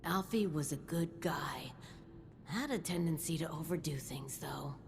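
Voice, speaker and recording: A woman's voice: sits low, measured pace, over music. An actress playing a tired woman vault resident who chats a bit sardonically about the vault's problems. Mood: weary.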